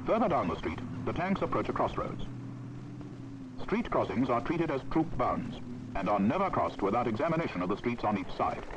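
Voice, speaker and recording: British man narrating, lively rise and fall. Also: medium pitch, fairly quick. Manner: formal, authoritative, precisely enunciated. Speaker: training film narrator. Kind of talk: scripted military instructional narration. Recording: dull old film soundtrack, background noise.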